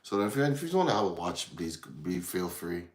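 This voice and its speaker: A man's voice: low-pitched, big pitch swings, normal speed. An Irish man from Dublin hosting a casual livestream, rambling and distracted as he chats with viewers. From Ireland, from Dublin. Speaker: livestream host.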